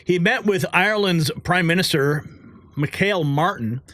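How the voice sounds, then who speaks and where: A man hosting a live news stream in a loose, chatty way, reading out viewer comments and laughing often. His voice sits a little high for a man. The sound is dry and close, with no room echo. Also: unhurried, a bit bright. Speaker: news show host.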